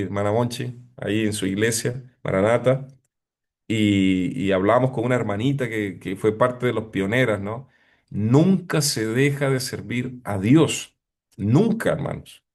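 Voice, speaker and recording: Middle-aged pastor guy, kinda low voice, slow thoughtful sermon, lots of "eh" pauses. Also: darkish tone. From abroad, living in Chile 20 years. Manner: reflective, hesitant with frequent fillers.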